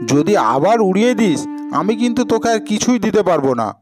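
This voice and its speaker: A man's voice: high voice, sing-song, normal speed, over music, pitched above the performer's own voice. A man voicing an exasperated shopkeeper elder brother in a cartoon folk tale, scolding and admonishing in a weary way. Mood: stern.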